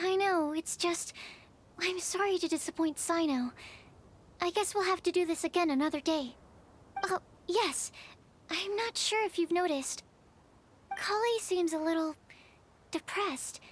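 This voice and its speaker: A woman voicing a shy, earnest young alchemist in a video game, speaking softly and thoughtfully. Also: very high-pitched, a little slow, long pauses. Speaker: alchemist researcher.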